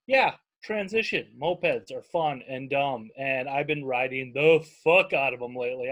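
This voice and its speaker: A man's voice: medium pitch, fairly bright tone. A man with a Minnesotan accent hosting a casual chat, talking in a rambling, heavily profane way. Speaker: podcast host.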